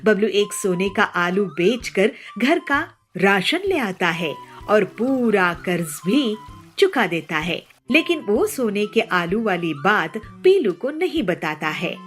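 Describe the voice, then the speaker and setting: Unhurried, expressive woman storyteller. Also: mid-range voice, soft background music. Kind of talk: scripted children's story narration.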